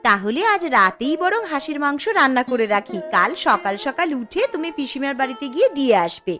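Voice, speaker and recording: A high-voiced village wife played by a woman in a cartoon tale. She is sharp-tongued yet caring, speaking briskly and expressively with a scolding edge, over music. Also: animated. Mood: practical, curious. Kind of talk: cartoon dialogue.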